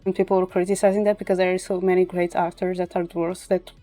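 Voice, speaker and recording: A woman's voice: lowish voice, flat delivery, fast talker, dark tone, soft background music. A woman whose first language is Portuguese, chatting casually on a livestream and sometimes searching for English words. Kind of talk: casual chat. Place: indoor livestream with music behind.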